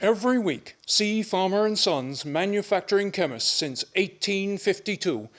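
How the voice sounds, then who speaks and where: A man acts a formal radio announcer with a high-pitched voice. He delivers scripted, promotional introductions for a sponsored play. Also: expressive, moderate pace, a bit bright, faint music behind. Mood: grandly promotional.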